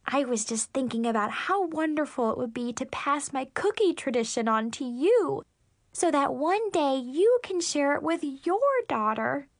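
A woman's voice: sits high, animated, measured pace, no echo, studio quality, voice pushed up. A woman voicing a doting, sappy mother in a story, sweet and gushing. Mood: dreamy.

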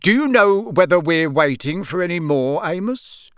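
A man's voice: high voice, animated, moderate pace, a bit bright, no room sound, clean studio sound, pitched above the performer's own voice. A man voicing a friendly, polite middle-aged wizard father in an audiobook, mild-mannered and matter-of-fact. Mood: brisk.

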